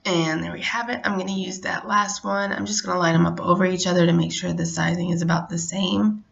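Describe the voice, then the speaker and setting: Casual craft tutorial host, middle-aged woman, lowish gravelly voice, relaxed step-by-step delivery, slightly muffled recording. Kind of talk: casual how-to narration.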